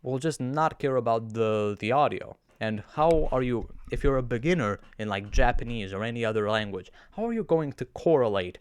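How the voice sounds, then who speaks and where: A man's voice: medium pitch, expressive, moderate pace, hardly any pauses, clear, resonant tone. A young man whose first language is Romanian, talking casually to his viewers in a chatty, self-mocking way, often restarting his sentences. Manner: chatty, self-deprecating, with frequent restarts and fillers. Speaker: YouTuber. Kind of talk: casual talking-to-camera vlog.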